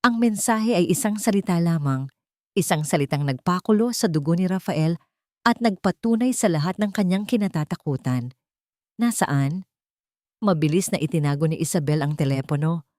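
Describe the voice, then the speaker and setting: A woman's voice: lowish voice, fairly quick, dark tone, no echo, studio quality. A woman reading a scripted dramatic story as its narrator.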